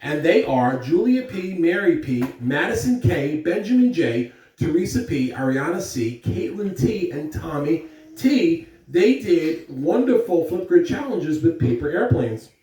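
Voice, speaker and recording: Echoey, lively-pitched woman addressing schoolchildren. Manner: deliberate, encouraging speech to young children. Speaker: school morning announcer and story reader. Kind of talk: morning announcements and children's read-aloud. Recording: echoey room, distant mic, faint noise.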